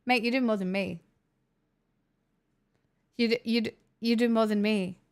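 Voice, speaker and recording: A woman's voice: fairly high pitch, fairly even intonation, unhurried, clean tone. A young woman hosting a casual livestream, chatting, joking and swearing as she answers messages from chat. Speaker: livestream host reading chat.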